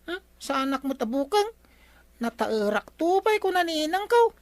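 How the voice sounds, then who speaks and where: A woman's voice: high voice, very animated, moderate pace, dry recording. A woman playing an inquisitive, cheeky young grandchild with an Ilocano accent in a radio comedy drama, chatty and questioning. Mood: cheeky, curious.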